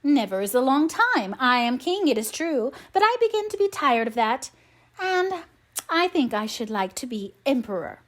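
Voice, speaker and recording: A woman's voice: high-pitched, sing-song, normal speed. A woman voicing a greedy, imperious fisherman's wife who insists on having her way in a storybook. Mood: imperious, impatient.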